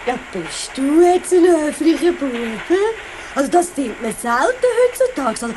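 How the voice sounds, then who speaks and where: A woman's voice: high voice, lively intonation, normal speed, background noise. A woman playing a chatty, nosy older village passenger in a Swiss German children's radio play. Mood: chatty, fussy. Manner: gossipy, fussy, insistent.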